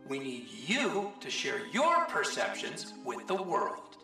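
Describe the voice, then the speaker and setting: An actor playing an exuberant, slick tycoon who adores superheroes, pitching like a salesman in an animated game. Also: slow, creaky, over music, full character voice. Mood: persuasive. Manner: salesmanlike, enthusiastic. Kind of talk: animated film game voice acting.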